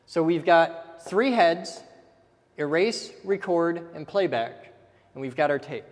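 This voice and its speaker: A man's voice: a little high, expressive, takes it slowly, in a large hall. A man teaching step by step in a casual way, often checking in with "okay?" as he goes. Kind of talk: casual technical explanation while drawing.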